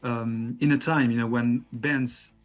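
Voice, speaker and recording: A man whose first language is French, interviewing a musician in English, halting and hesitant with many fillers and self-corrections. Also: mid-range voice, steady tone, soft background music. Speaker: fan-club interviewer. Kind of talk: interview questions.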